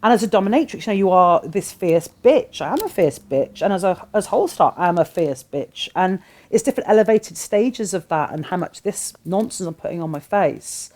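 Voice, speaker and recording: Frank, animated woman in a studio. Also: fairly low pitch, normal speed, hardly any pauses. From Britain. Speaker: guest, cabaret performer. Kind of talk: candid interview chat. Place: small studio set, seated on sofa.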